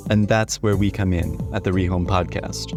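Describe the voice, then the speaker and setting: A man hosting a podcast interview, speaking in a measured, explanatory way. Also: low voice, steady tone, music in the background. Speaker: podcast host.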